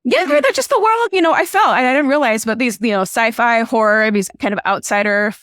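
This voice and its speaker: A woman from Illinois who grew up speaking Spanish talks about her life as a filmmaker guest. Her voice sits fairly high and sounds smooth and clear. She rambles casually, leaning on "you know" and "like." The sound is dry and close. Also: expressive, brisk, a bit bright, no room sound. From Illinois, USA. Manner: chatty, frequent "you know" and "like" fillers. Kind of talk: casual conversational storytelling.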